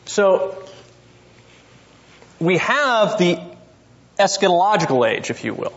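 A man's voice: high voice, big pitch swings, slow pace, a bit bright, muffled recording. Lecturing man checking "okay?". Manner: explains step by step, often checks in with "okay?" and "right?". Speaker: seminary professor. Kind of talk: classroom lecture on scripture.

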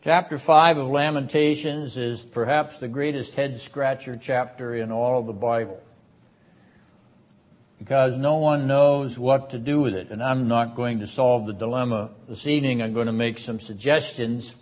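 A man giving a theology lecture as a seminary academic, speaking in a deliberate, emphatic manner with rhetorical repetition. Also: mid-range voice, fairly even intonation. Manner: frequent throat-clearing. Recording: dull, muffled lo-fi lecture recording.

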